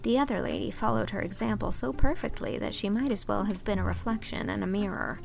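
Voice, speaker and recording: A woman reading scripted literary narration as an audiobook narrator, in an expressive storytelling manner. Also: mid-range voice, brisk, creaky, rough recording.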